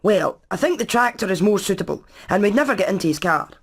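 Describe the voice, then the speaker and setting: Brainy hen engineer for a cartoon game, mid pitch, explains stuff step by step. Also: female voice, expressive, quick pace, slightly rough. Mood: matter-of-fact. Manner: methodical, matter-of-fact. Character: practical, clever female engineer hen explaining plans. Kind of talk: animated video game voice acting.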